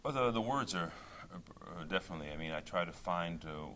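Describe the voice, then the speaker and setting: Middle-aged guy with a really low voice, thinking out loud, lots of ums, faint hiss behind. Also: expressive, a little fast. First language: English. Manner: thoughtful, with many restarts and fillers. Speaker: opera singer, interview guest. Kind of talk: casual interview talk.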